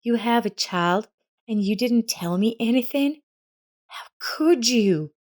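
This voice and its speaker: A woman's voice: fairly high pitch, expressive, slow pace, a little mellow, pitched above the performer's own voice. A woman voicing a concerned, decisive wife in a narrated family drama story. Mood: shocked.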